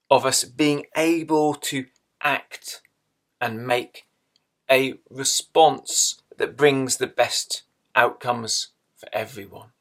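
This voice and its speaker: A man leading a guided meditation in a measured way, leaving long pauses between phrases. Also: mid-range voice, a bit bright.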